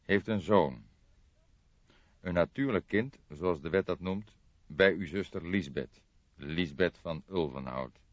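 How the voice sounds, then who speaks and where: A man voicing a dry, deliberate older police detective in an audiobook, with a measured, formal, probing way of talking. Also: deep voice. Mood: thoughtful. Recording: dull, roomy audiobook recording.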